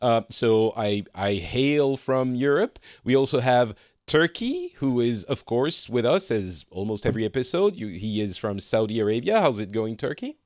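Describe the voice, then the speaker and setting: A man's voice: mid-range voice, very animated, normal speed, a little mellow, no room sound, studio quality. A man from France hosting a casual podcast panel, teasing his guests and laughing often. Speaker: podcast host.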